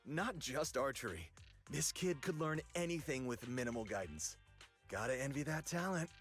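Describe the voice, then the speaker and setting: An actor playing an outwardly friendly, chatty sanatorium director in a video game. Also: male voice, medium pitch, lively intonation, moderate pace, lightly acted. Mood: genial, chatty. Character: smooth-talking male sanatorium director, outwardly friendly and generous. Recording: game audio over background music.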